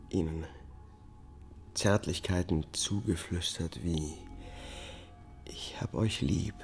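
An actor playing a subdued, haunted man on trial for killing his family, testifying in a measured, matter-of-fact way in a radio drama. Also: sits low, creaky, a little mellow, heavily acted. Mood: haunted, tender.

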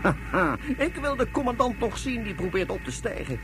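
A confident, scheming crew boss in a radio play. His fairly high voice is very animated, bossy and gleeful. Music plays behind him. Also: moderate pace. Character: confident, scheming male raft-crew leader plotting ambush. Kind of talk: radio drama acting.

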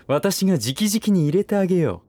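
Smug, lilting aristocrat, slightly high-voiced. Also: male voice, warm tone, no room sound, heavily acted. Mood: breezy, teasing. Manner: languid, lordly phrasing with amused chuckles. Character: smug aristocratic businessman, teasing and condescending. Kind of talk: Japanese voice drama acting.

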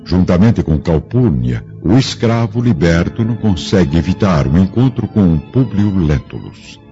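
A man's voice: sits low, mellow. A man giving solemn, theatrical scripted narration for a radio drama. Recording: dull lo-fi audio with music.

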